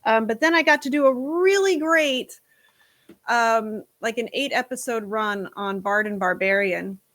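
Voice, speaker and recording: A woman talking as a guest in a casual stream chat, frank and animated, with casual swearing. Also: a little high, a little slow, no room sound.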